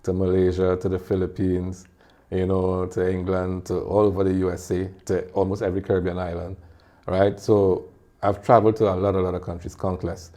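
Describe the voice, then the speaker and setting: Chatty Trinidadian man, low voice. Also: a little fast. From Trinidad and Tobago. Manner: animated storyteller, laughs often. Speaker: guest, music video producer and singer. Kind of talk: casual interview chat.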